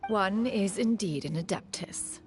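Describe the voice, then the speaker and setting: A woman playing a proud, aloof immortal in a video game, speaking in a stiffly formal, archaic way with a creaky edge. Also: medium pitch, a little slow, close to an everyday voice. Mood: haughty. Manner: stiffly formal, archaic, calls herself "one". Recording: game dialogue over background music.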